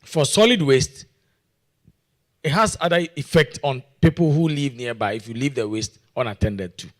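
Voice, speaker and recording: A man from Ghana asking a question from the floor in a conference meeting room. He works through his point earnestly, circling back to words as he goes. His voice sits at an ordinary middle pitch. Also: moderate pace. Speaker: audience questioner.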